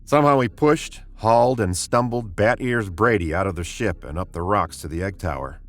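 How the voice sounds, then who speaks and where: An actor playing a steady, authoritative, plain-spoken spaceship commander in a 1950s science fiction radio drama. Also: male voice, fairly low pitch, normal speed, close to an everyday voice. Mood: resolute. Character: steady, decisive male commander of a spaceship expedition.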